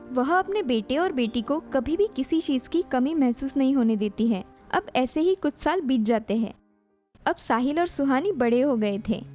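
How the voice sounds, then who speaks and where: A woman narrates a cartoon family story in a high-pitched voice. She reads from a script as a storyteller, with soft music underneath.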